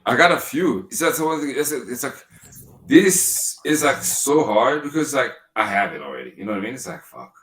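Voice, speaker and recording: A man's voice: medium pitch, lively intonation, moderate pace, fairly bright tone. A man co-hosting a podcast, chatting casually in a rambling, profane, laid-back way. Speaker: podcast co-host. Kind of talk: casual chat.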